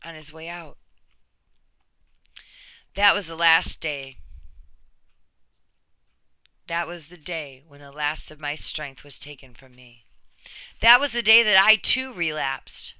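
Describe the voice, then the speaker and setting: A woman in her thirties reading a scripted first-person personal story in an earnest, deliberate way with emphatic phrasing. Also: sits low, bright, forceful tone, smooth, no echo. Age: 30s.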